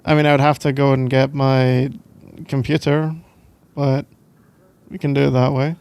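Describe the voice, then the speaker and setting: A man's voice: mid-range voice, little pitch movement, normal speed, darkish tone, clean tone. A young Scottish man doing casual boyfriend roleplay ASMR in a playful, teasing, rambling way, close to the microphone. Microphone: close microphone, dry sound.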